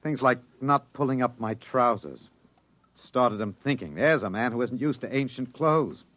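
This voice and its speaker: An actor playing a tense, hunted husband on the run in a 1950s radio drama, urgent and protective. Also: male voice, mid-range voice, moderate pace, a bit bright, no room sound, muffled recording, close to an everyday voice. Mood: tense, shaken. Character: tense, hunted husband, a fugitive time traveler.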